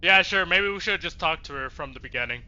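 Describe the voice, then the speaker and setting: A man's voice: fairly high pitch, lively intonation, quick pace, bright, forceful tone, dull audio. A man giving casual, reactive game commentary as a streamer, blunt and exclamatory with plenty of swearing. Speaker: let's play streamer.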